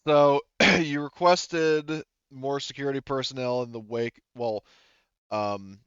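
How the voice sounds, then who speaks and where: A man chats casually, out of character, at a roleplaying game. His voice is smooth and mid-pitched, on a dry recording that sounds a little dull. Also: steady tone, slow pace, fairly bright tone, dry recording.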